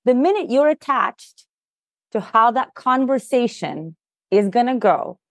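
A woman's voice: fairly high pitch, lively intonation, measured pace, no echo. A woman whose first language is Russian, a podcast guest and leadership coach, explaining things earnestly in casual conversation with frequent "you know" and "like".

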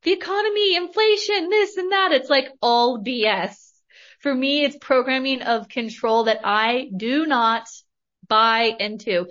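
A woman's voice: high voice, measured pace, fairly bright tone, dull audio. A woman in her thirties from Northern California, hosting a podcast and telling her story in an emphatic, motivational way, repeating phrases and swearing mildly. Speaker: podcast host.

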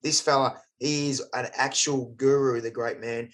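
Matey Australian podcast host. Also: male voice, mid-range voice, fairly even intonation, a little slow. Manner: enthusiastic, matey, stumbling restarts. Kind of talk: casual podcast interview.

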